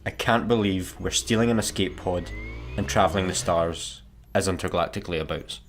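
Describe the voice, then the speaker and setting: An actor with a Scottish accent playing a daft, easygoing janitor in a sci-fi comedy radio play, casual and obtusely deadpan. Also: male voice, sits low, moderate pace, slight background noise, close to an everyday voice. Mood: wry, casual. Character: daft, easygoing, literal-minded male slacker janitor.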